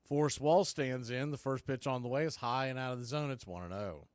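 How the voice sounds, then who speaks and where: A man's voice: mid-range voice, a little fast, clear, resonant tone, no room sound. A man working as a baseball announcer, giving live play-by-play commentary mixed with score updates in clipped phrases.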